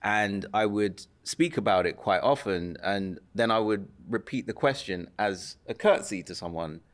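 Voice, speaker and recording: A man with a British accent, a podcast guest, telling his story in a measured, reflective way. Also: lowish voice. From United Kingdom. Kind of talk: casual podcast conversation.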